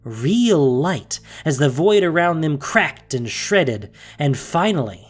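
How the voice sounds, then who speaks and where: A narrator reading a dramatic audio story theatrically, with deliberate pauses and hushed emphasis, in a scripted style. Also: medium pitch, big pitch swings. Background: music.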